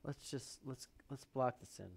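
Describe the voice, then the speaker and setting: A man giving casual, unscripted commentary as he paints, thinking aloud in a halting, self-critical way. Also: medium pitch, creaky, darkish tone, husky. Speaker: painter hosting a live studio stream.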